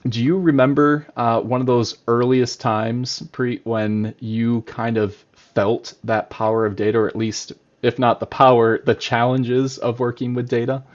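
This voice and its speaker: A man's voice: mid-range voice, level tone, unhurried, hardly any pauses, highs cut off. A man hosting a live-streamed data podcast, upbeat and chatty with frequent uhs. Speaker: podcast host.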